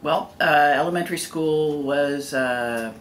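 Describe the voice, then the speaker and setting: A middle-aged man recalling his schooling in a gentle, meandering way. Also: on the high side, measured pace, slight background noise. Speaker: interviewee. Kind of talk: casual recollection.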